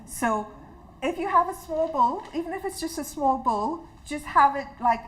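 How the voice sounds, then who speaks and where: A woman's voice: high voice, expressive, normal speed, poor audio. Chatty woman giving a health talk. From Trinidad. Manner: warm, chatty, personal, restarts often. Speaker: health educator. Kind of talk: interactive health talk to an audience. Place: community hall with a live audience.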